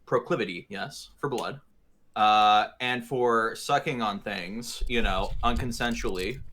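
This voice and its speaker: A man's voice: lowish voice, a little slow, sharp tone. A man talking casually as a player in a tabletop roleplaying game, wordy and mock-formal.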